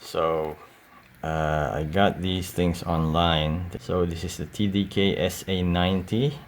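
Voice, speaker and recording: A man with a very low voice and a lot of vocal fry talks very slowly through a casual show-and-tell. He sounds halting and unscripted, with some faint noise behind him. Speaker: collector showing cassette tapes. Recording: audible steady background noise.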